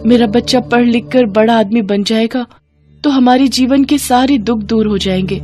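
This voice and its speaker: A woman's voice: on the high side, steady tone, normal speed, dark tone, faint music behind, pitched above the performer's own voice, close to an everyday voice. Woman acting a tender, devout poor mother. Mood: pleading prayer. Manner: tender, pleading, earnest. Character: poor, devout, loving village mother of a schoolboy.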